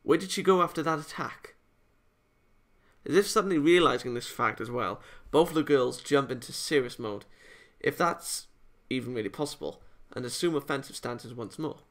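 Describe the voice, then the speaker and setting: Creaky-voiced man narrating a visual novel. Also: medium pitch, normal speed, fairly bright tone. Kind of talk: expressive scripted narration, reading aloud.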